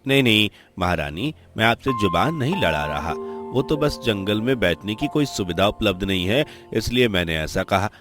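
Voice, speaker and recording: A man's voice: lowish voice, animated, normal speed, over music, lightly acted. A man voicing an obedient, apologetic royal soldier in a cartoon story, deferential and placating.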